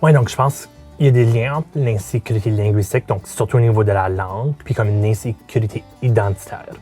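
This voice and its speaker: A Franco-Manitoban man speaks reflectively, pausing to search for words. His voice is low and mellow. Faint guitar music plays underneath. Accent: Franco-Manitoban French. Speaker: interviewee. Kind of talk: reflective interview clip.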